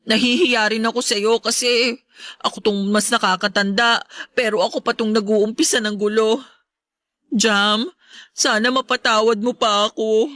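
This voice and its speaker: Woman acting bright, high-voiced pleading Filipina stepmother. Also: steady tone, normal speed, no room sound, clean studio sound, natural voice. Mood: regretful. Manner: emotive, pleading, apologetic. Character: remorseful, pleading stepmother, adult woman under family strain. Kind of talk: Filipino radio drama acting.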